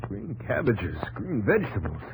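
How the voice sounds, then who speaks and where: A man's voice: fairly low pitch, huge pitch swings, moderate pace, vocal fry, full character voice. A young man playing a determined, desperate desert prospector with intense delivery in a 1950s radio drama. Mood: subdued, stubborn. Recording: noisy old 1950s radio broadcast.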